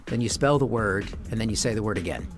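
A man's voice: lowish voice, quick pace, over music. A man co-hosting a radio show, playful and chatty, stumbling over hard words. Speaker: radio co-host. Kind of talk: casual banter with mock news reading.

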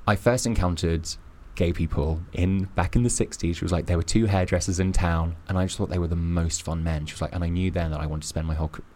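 A man's voice: low voice, fairly even intonation, fast talker, few pauses, dark tone. A man co-hosting a casual podcast chat, making his case emphatically and at length. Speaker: podcast co-host.

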